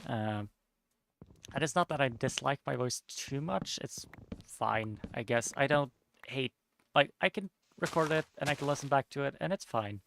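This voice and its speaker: A young man with a Norwegian accent, chatting casually while streaming a game, somewhat monotone and mumbling with unclear diction. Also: medium pitch, normal speed, vocal fry, slight background noise. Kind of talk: casual chat while gaming.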